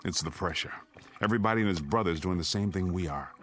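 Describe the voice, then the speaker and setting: Low-voiced, urgent American father. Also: quick pace, a bit bright. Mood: steadying, tense. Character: urgent, steadying father and husband taking charge. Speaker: actor in a radio drama. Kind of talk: dramatic radio acting.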